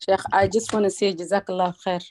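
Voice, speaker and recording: A woman's voice: medium pitch, little pitch movement, fast talker, noisy background. A woman giving informal thanks as a student on a video call, speaking hesitantly. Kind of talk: informal testimonial.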